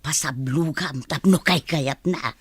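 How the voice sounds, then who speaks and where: An actress playing a gossipy, bossy but kindly household helper woman with a husky voice in an Ilocano radio drama. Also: sits low, unhurried, no echo. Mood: confiding.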